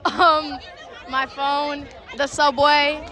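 A woman's voice: high-pitched, flat delivery, drawn-out words, pushed and bright, some chatter behind. A young woman answering street-interview questions at a night party, hesitating with lots of ums before dry, sassy replies. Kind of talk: casual chat.

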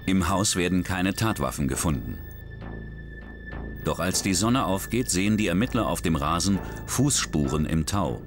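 A man's voice: low-pitched, animated, normal speed. A man reading the scripted narration of a true crime documentary in a dramatic, suspenseful way. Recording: voice-over with music bed.

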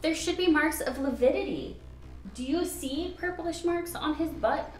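A woman playing a brisk, compassionate, matter-of-fact doctor in a radio drama. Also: high-pitched, echoey room. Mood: clinical. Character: brisk, compassionate female doctor investigating a disaster.

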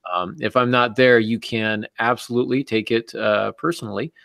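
A man in his forties hosting a casual chat show over a video call, with a wry, deadpan way of talking. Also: mid-range voice, normal speed, vocal fry, dry recording. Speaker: show host. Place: small cluttered office, on a video call.

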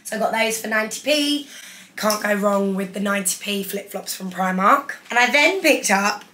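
A young woman in her twenties, hoarse from losing her voice, chatting enthusiastically through a clothing haul in her living room. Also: mid-range voice, fairly bright tone. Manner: chatty, enthusiastic, holds up each item. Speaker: fashion vlogger. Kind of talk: casual haul vlog. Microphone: camera in front, seated.

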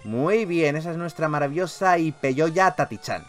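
A man with a Castilian accent from Spain giving excitable, sweary let's play commentary as a gaming YouTuber. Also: fairly high pitch, moderate pace, faint music behind. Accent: Castilian Spanish from Spain. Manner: animated, expressive, swears freely.

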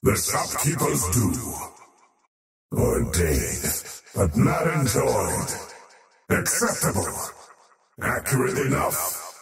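Drenched in echo, a faceless alien usurper from a video game, cold and menacing. He delivers slow, pompous pronouncements in a haughty tone, his pitch swinging widely. The voice sounds otherworldly and processed. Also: creaky, heavily acted. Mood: haughty, dismissive. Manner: pompous, ominous, clipped theatrical pronouncements. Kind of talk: video game character voice lines. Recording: heavily echoing, distant voice over music.

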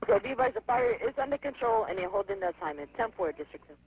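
A male fire dispatcher speaks in a very high, lively, swooping voice. He is clipped and procedural, repeating details back quickly. The two-way radio audio is dull and lo-fi. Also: gravelly, husky. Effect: two-way radio transmission. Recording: dull, lo-fi radio scanner audio, faint noise.

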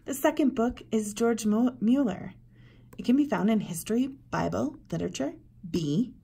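A woman, around her thirties or forties, presenting recommendations to camera with deliberate, emphatic phrasing and pauses. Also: fairly high pitch, gravelly, warm tone, slightly rough. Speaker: presenter for a homeschool curriculum blog. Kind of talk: book recommendation walk-through to camera.

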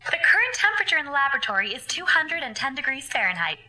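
An actress playing a polite, unflappable space station computer with formal, matter-of-fact delivery in a radio drama. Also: female voice, sits high, very animated, quick pace, bright, forceful tone, heavily acted, poor audio.

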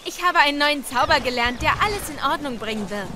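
A woman's voice: high-pitched, expressive, brisk, noisy background. A woman playing an earnest, eager young female pony who studies magic, in an audio drama. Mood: confident. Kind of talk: fan audio drama acting.

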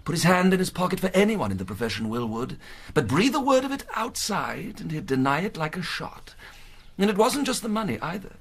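An actor playing a kindly, plain-spoken charity official in a radio drama, British, with a husky voice and a confiding, colloquial manner. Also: male voice, high voice, very animated, brisk, slight background noise.

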